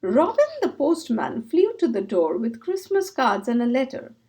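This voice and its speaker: A woman reads a children's story aloud. Her voice is high, with lively, sweeping intonation suited to a picture book. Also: normal speed.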